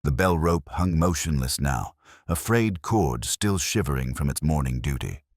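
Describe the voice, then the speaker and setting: A man playing a weary, sharp-minded police detective, narrating a mystery in a measured, literary, wry and brooding way. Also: extremely low pitch, lively intonation, dry recording, studio quality, exaggerated voice. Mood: weary, reflective. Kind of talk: scripted first-person detective narration.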